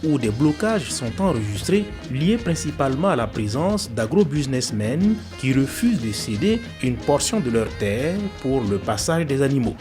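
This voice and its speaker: A man reads a scripted documentary narration. His intonation moves up and down in a lively way, and music plays clearly behind him. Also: mid-range voice, normal speed, hardly any pauses.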